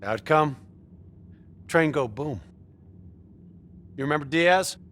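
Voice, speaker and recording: A man's voice: fairly high pitch, expressive, slow, a bit bright. A man playing a cocky, profane squad leader giving a punchy, sardonic military briefing in a video game. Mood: sardonic, brisk. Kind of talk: video game cutscene acting.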